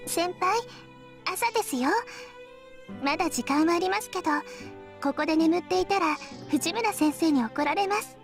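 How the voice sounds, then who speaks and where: A woman playing a gentle, polite, devoted schoolgirl junior in a Japanese visual novel, soft-spoken. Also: extremely high pitch, fast talker, faint music behind.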